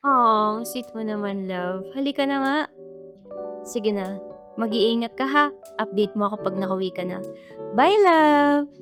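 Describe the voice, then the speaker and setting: A woman's voice: a little high, expressive, measured pace, pauses often, over music. A woman with a Filipino accent playing a sweet, caring younger sister in an amateur radio drama. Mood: affectionate.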